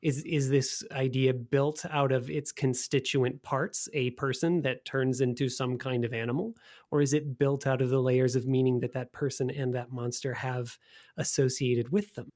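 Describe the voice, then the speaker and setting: A man hosting a podcast in a casual, conversational way. He sits at a medium pitch and thinks things through out loud, often rephrasing his point as he goes. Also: fairly even intonation, normal speed. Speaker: podcast host.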